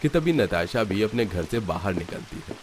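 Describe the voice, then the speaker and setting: Low-pitched male story narrator. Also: expressive, moderate pace, darkish tone, slight background noise. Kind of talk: scripted cartoon story narration.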